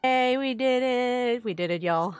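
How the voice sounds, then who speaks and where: Gushy girl streamer reacting to a game, highish voice, drawn out slow words, faint music behind. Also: clear, resonant tone, dry recording. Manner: gushing, enthusiastic. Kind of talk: casual livestream reaction commentary.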